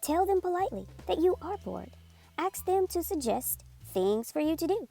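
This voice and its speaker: A woman reading a children's picture book aloud in a clear, gently instructive way. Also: extremely high pitch, sing-song, normal speed, faint music behind. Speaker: storyteller.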